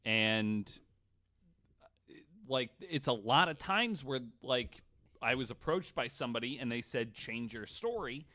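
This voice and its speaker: A man's voice: medium pitch, expressive, a little slow, sharp tone. A man hosting a podcast in casual banter, joking irreverently and swearing freely. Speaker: podcast host.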